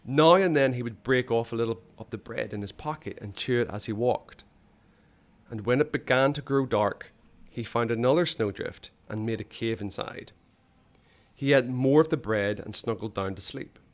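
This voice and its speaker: A man reading a children's adventure story aloud in a dramatic, suspenseful way, with hushed phrase endings. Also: mid-range voice, normal speed. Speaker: storybook reader.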